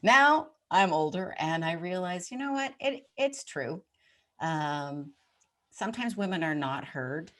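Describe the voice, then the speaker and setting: Low-voiced middle-aged woman, articulate guest. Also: animated, a bit bright. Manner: articulate, measured and confident. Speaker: podcast guest, communication coach. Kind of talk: interview answers.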